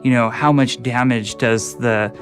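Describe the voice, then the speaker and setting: A man's voice: mid-range voice, steady tone, measured pace, soft background music. A man talking enthusiastically about game storytelling in a behind-the-scenes documentary. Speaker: game developer interviewed. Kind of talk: documentary interview.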